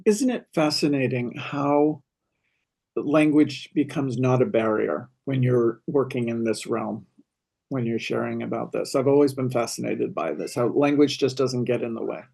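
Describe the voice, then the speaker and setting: Man, interview host, gravelly, thoughtful, curious, filler pauses. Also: mid-range voice, a little mellow. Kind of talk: conversational interview.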